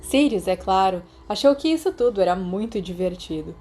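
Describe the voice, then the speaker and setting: A Brazilian woman narrates fiction in the manner of an audiobook. Her voice sits at a medium pitch and has a dark, relaxed, mellow tone. The delivery is scripted storytelling. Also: moderate pace.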